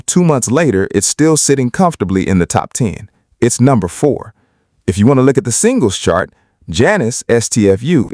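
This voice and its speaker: A man's voice: mid-range voice, a little mellow, dry recording, clean studio sound. A man giving opinionated video commentary in an emphatic, argumentative way. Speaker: video commentator on hip-hop.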